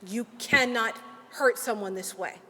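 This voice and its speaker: A woman attorney giving an earnest, deliberate ceremonial speech with measured pauses. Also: a little high, sharp tone, big-room sound. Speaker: legal aid attorney. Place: large hall at a ceremony.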